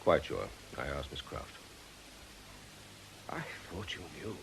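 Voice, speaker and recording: An actor playing an earnest, reasonable priest in a British radio drama, tactful, concerned and gently challenging. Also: male voice, rumbling low, sing-song, slow, gravelly, slight background noise, heavily acted, rough recording.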